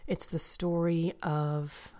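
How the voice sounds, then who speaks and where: A woman chatting casually about books she has read, rambling with fillers and asides, sometimes dropping to a soft whisper. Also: sits low, level tone, slow. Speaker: book vlogger. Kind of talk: casual book review chat.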